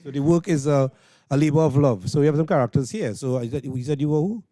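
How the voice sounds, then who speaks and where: A man's voice: on the high side, fast, warm tone. A man with a Trinidadian accent hosting informal interviews with performers at an event. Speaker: interviewer and host.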